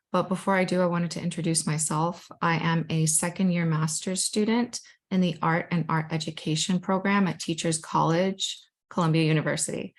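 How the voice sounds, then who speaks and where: A young woman from Guatemala whose first language is Spanish, chatting casually and enthusiastically as she presents her own artwork, with self-deprecating asides. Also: fairly low pitch. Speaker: digital storytelling manager.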